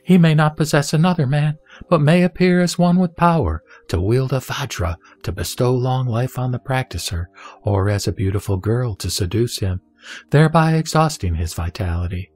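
Man calmly reciting scripture over soft music. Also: mid-range voice, moderate pace. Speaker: scripture reader. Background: soft background music.

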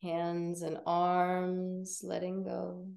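Woman, yoga nidra teacher, very slow guided meditation, creaky low-leaning voice, soothing, roomy echo. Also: monotone. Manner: soothing, gentle, unhurried with long pauses.

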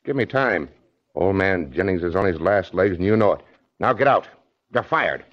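A self-serving American company man in a 1940s radio drama. His voice is on the low side, brusque and impatient, on a muffled old recording. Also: expressive, normal speed. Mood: scornful, brusque. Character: arrogant, self-serving young executive, brusque and scornful.

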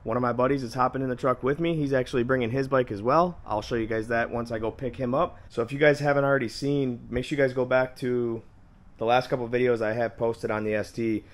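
Hoarse, mid-pitched male vlogger from Michigan; chatty and upbeat, talks straight to viewers. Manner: upbeat, addresses viewers as guys. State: hoarse, losing his voice. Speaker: motorcycle vlogger. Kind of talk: casual vlog narration to camera. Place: home garage, then outdoors at a shop lot.

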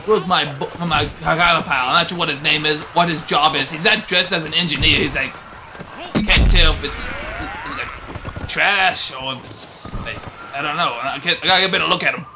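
A man voicing a bossy, excitable plant soldier, rambling and exclaiming in a comedic improvised game dub. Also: on the high side, moderate pace, noisy background. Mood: puzzled searching. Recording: loud game music behind.

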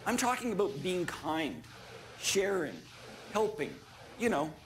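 A middle-aged man delivering a rehearsed keynote speech as a chief executive, speaking in measured, deliberate, emphatic short statements. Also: sits high, huge pitch swings, slight background noise. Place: large conference stage before an audience. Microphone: small clip-on lapel mic.

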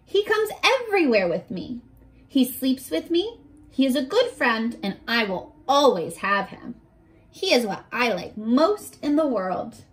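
A woman in her twenties reading aloud and teaching young children in an animated, encouraging way, pausing to ask questions, recorded at arm's length indoors. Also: sits high. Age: 20s. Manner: animated, encouraging, asks questions and pauses for answers. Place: small furnished bedroom, indoors.

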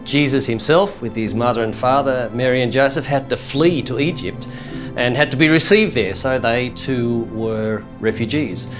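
A man's voice: mid-range voice, normal speed. A man in his sixties, an auxiliary bishop, earnestly and preacherly urging his listeners toward a mission. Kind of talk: promotional address to the faithful. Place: room with a brick wall and shelves. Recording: soft music underneath.

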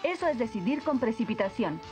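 A woman's voice: a little high, a little fast, music in the background. A woman playing a friendly warrior heroine who gives an instructive moral to cartoon viewers. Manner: instructive, expressive.

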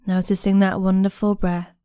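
A woman guiding a meditation in a gentle, soothing, unhurried manner with long pauses between phrases. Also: medium pitch, flat delivery, clean tone, no echo, studio quality. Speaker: guided meditation instructor. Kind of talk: guided body scan meditation narration.

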